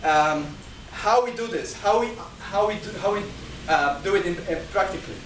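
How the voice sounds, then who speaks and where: Man giving a lecture, slightly high voice, restarts often, roomy echo, heard from a distance. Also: animated, moderate pace, a bit bright, a little hiss or hum. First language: not Chinese. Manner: numbered points, frequent restarts and repeated words. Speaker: guest speaker. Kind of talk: lecture-style talk. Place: talk venue, indoors. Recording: echoey room, mic at a distance.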